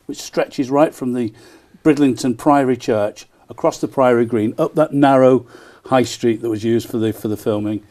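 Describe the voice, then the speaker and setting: A man answers interview questions as a keen event promoter. His voice is dark and mellow at an average male pitch. He talks up the details with enthusiasm, often breaking off for small hesitations. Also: moderate pace. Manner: enthusiastic, frequent hesitant ers. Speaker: town crier and festival promoter, interview guest.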